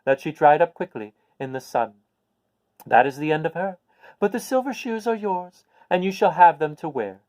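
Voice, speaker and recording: Kindly elderly good witch, performed by a man: high, very animated, deliberate storybook delivery. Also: no room sound, lightly acted. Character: kindly, calm little old woman, a good witch. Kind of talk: storybook character voice.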